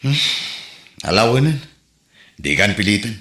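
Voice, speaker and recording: Low-voiced easygoing village man, acted, Filipino accent. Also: sing-song, unhurried, bright, forceful tone, heavily acted. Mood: coaxing. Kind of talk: Ilocano comedy drama dialogue. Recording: echoey room recording.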